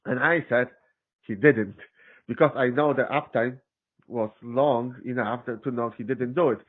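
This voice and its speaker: A man with a Polish accent, a systems engineer chatting as an interview guest, halting with many restarts and fillers. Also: mid-range voice. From Poland. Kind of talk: casual interview chat. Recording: tinny phone-like line.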